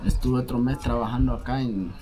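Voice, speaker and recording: A man's voice: medium pitch, steady tone, a little fast, crowd noise. A man from Honduras, answering interview questions in short, matter-of-fact sentences outdoors. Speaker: migrant interviewee. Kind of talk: casual street interview answers. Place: outdoors at a migrant shelter.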